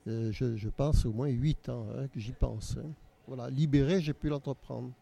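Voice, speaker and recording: A man's voice: medium pitch, fairly even intonation, normal speed, creaky, mellow. An older man from Nice, the organizer of a local art exhibition, answering interview questions hesitantly with frequent repeats. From Nice, France.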